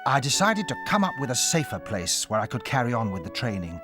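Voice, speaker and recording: An expressive male actor as storyteller recalling boyhood adventures in a dramatized audiobook. His mid-pitched voice moves in lively contours, with faint music behind. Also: brisk, close to an everyday voice. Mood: measured storytelling. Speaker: narrator.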